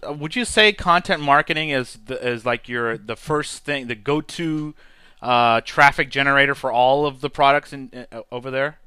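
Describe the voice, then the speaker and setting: Bright, crisp-voiced enthusiastic male host. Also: medium pitch, no echo. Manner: enthusiastic, quick affirmations. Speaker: podcast host. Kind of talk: casual podcast interview.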